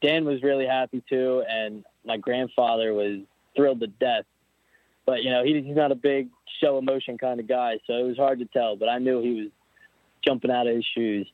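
A man's voice: medium pitch, fairly even intonation, moderate pace, dry recording. A nineteen-year-old man from Pennsylvania, a racer, chatting casually in an interview and rambling with frequent restarts. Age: young adult. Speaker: guest, motorcycle racer. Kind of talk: casual interview over the phone. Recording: dry, tinny phone line.

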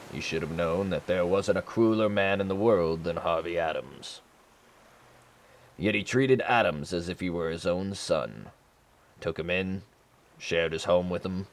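An actor playing an ancient, weary sea captain telling a tale in a brooding way for a radio drama. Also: male voice, sits low, unhurried.

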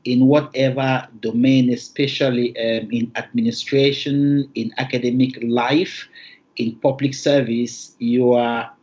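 A man from Cameroon with a Cameroonian English accent, a native Lamso speaker, answering interview questions in careful, formal phrasing with thoughtful pauses. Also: medium pitch, level tone, darkish tone, highs cut off. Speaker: interview guest.

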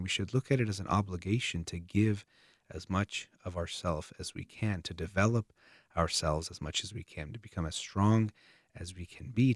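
A man's voice: deep voice, no room sound, studio quality. A man hosting a radio advice show, speaking in an earnest, encouraging way and repeating phrases for emphasis. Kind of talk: motivational radio talk. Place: radio studio.

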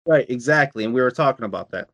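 A man's voice: mid-range voice, animated, quick pace, a bit bright, no echo, studio quality. Rambling, cursing man in casual chat. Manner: rambling, profane, repeats himself.

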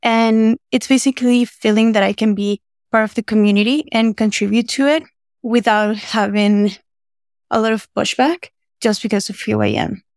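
A woman's voice: a little high, fairly even intonation, normal speed, darkish tone, no room sound, clean studio sound. A young woman from Venezuela, a student intern, speaking thoughtfully in a casual podcast conversation. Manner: thoughtful, uses many fillers.

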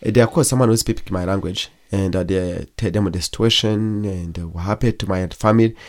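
A young man from Congo, whose first language is Kigogwe, recounts his life as an interview guest. His voice sits low. He talks haltingly, pausing often and restarting his sentences. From Democratic Republic of Congo. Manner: halting, with frequent pauses and restarts. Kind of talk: personal testimony in an interview.

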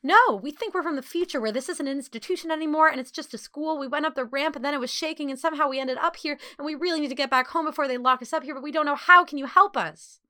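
Woman voicing an excitable, anxious chatterbox young girl. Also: very high voice, voice pushed up, full character voice. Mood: urgent, pleading. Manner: rapid, gushing, emphatic.

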